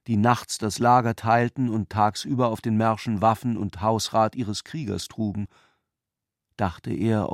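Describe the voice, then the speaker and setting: A man's voice: on the low side, no room sound, clean studio sound. A man reading literary narration as the narrator of a radio play.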